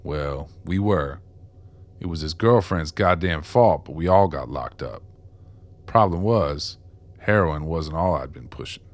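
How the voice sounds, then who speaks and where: A man's voice: rumbling low, lively intonation, unhurried, full character voice. An actor playing a remorseful, ragged old ex-con in a radio drama, world-weary and streetwise as he confesses. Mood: bitter, resigned. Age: older.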